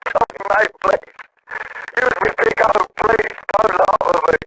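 An actor playing a boastful, resentful small-time hustler with a southern English accent and raspy voice in a video game, talking in agitated, rambling slang. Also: male voice, a little high, animated, quick pace, fairly bright tone, a little hiss or hum, poor audio. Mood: desperate, pleading. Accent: British, southern English. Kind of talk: video game character phone dialogue.

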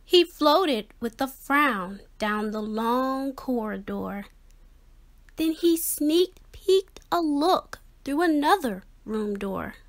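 A woman reading a children's picture book aloud, expressively and with a measured, rhyming rhythm. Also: high-pitched, animated. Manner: measured, rhythmic delivery of rhyme. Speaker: children's story reader.

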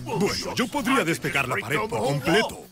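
A man voicing a grumbling, exclamatory, expressive character in a children's cartoon dub. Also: a little high, normal speed, music in the background. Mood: eager.

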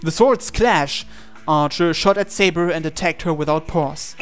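Higher-voiced man dramatically narrating a story. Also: measured pace, soft background music. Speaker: let's-play reader of a visual novel. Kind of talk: dramatic first-person story narration read aloud.

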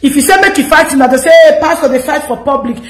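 Nigerian woman, 30s, pidgin commentary, scolding, fairly high voice, roomy phone recording. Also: fast. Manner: animated, scolding, mixes pidgin and English. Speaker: gossip commentary host. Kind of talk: direct-to-camera commentary.

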